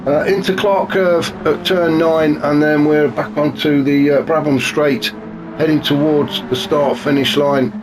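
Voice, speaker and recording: A man commentating a sim race in a chatty, wry way, his voice a bit gravelly and snuffly from a cold. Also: on the high side, steady tone, measured pace. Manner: chatty and wry, many fillers. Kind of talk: live sim racing commentary. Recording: noisy with car engine sounds behind.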